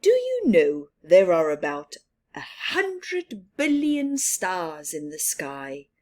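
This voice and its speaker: A woman's voice: lowish voice, wildly swooping pitch, slow, darkish tone, heavily acted. A woman reading aloud, voicing a father who patiently explains facts to his children. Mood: explaining. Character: gentle father telling his children about space.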